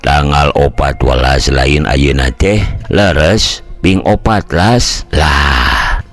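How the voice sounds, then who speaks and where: A man's voice: very deep voice, a bit bright, faint music behind, pitched below the performer's own voice, full character voice. A man voicing a pious old village uncle who explains things patiently and reflectively in a Sundanese storytelling recording. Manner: slow, sage-like explaining with proverbs.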